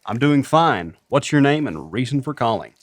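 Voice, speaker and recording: A man playing a courteous, measured late-night soul radio DJ in a radio drama. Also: medium pitch, big pitch swings, no echo, close to an everyday voice. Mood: polite, concerned.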